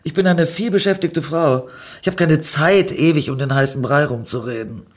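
A woman's voice: low-pitched, moderate pace, voice pushed up. A woman voicing a street-tough, hot-tempered female ex-convict with a blunt, emphatic manner in a dramatic story reading. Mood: brash, impatient. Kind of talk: dramatic fan fiction reading.

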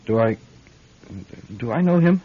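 Casting: haunted, jumpy radio drama photographer, halting speech, wildly varied intonation, muffled sound. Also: male voice, mid-range voice, expressive, husky. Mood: anxious. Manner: jittery, stammering, halting. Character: nervous, guilt-haunted male studio photographer, easily startled. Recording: dull band-limited radio drama.

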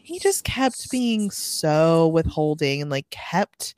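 A woman chatting casually as a podcast co-host, telling things in an animated way and acting out quotes. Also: low-pitched, slow, clear, resonant tone, no echo. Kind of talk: casual chat about a novel.

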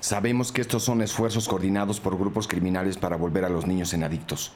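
An actor playing a stern, intimidating police officer in a crime audio drama. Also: male voice, deep voice, steady tone, fast, a little mellow, a little hiss or hum, natural voice. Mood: threatening. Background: music bed.

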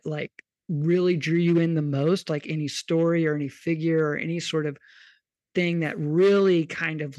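A man hosts a casual podcast conversation, wandering through long, curious questions. His voice sits high for a man and stays level, with little rise and fall. The sound is dry and close. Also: no room sound. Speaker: podcast host. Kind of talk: casual interview.

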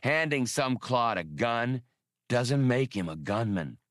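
A man's voice: mid-range voice, animated, takes it slowly, fairly bright tone, no room sound, studio quality. A man voicing a cocky, self-assured male starship pilot and gunslinger in an audiobook, with a blunt, confident, clipped delivery. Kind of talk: audiobook character voice.

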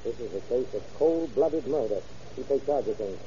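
An actor playing a businesslike, urgent American fire officer in an old-time radio drama. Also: male voice, mid-range voice, animated, normal speed, warm tone, natural voice. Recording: muffled, noisy old radio recording.

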